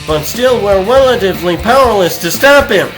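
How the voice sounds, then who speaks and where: A man's voice: high-pitched, lively intonation, moderate pace, music in the background. A man playing a self-assured cartoon superhero in a comic book dub. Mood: worried.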